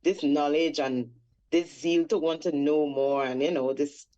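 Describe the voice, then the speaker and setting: A woman's voice: sits low, fairly even intonation, unhurried, warm tone, highs cut off. A woman in her forties from Trinidad with a Trinidadian accent, a reggae singer guest recounting her life in a reflective, storytelling way with many fillers. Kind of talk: radio interview.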